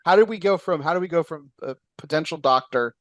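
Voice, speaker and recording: A man hosting a casual interview show, talking in an excitable, rambling way with lots of fillers and restarts. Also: a little high, brisk, dry recording. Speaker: podcast host.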